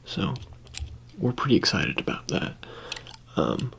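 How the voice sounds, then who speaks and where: A man doing an improvised ASMR roleplay in whispers and soft speech, rambling and hesitant with a deadpan delivery, close to the microphone. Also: mid-range voice, unhurried, vocal fry, slight background noise.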